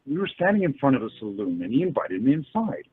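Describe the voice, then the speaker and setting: A man's voice: medium pitch, big pitch swings, quick pace, husky. A man acting an earnest, hesitant young New York suitor in a radio play, in muffled audio. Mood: awed, boastful. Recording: muffled lo-fi audio with music behind.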